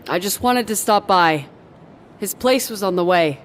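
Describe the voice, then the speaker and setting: A woman's voice: mid-range voice, lively intonation, unhurried, a little hiss or hum, lightly acted. An actress playing a composed, serious woman in a sci-fi audio drama. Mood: businesslike.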